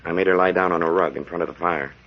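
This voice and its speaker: A man's voice: low-pitched, steady tone, fast. A man playing a concerned, earnest expedition doctor in a 1940s radio drama. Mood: concerned, thoughtful. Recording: muffled vintage 1940s radio recording.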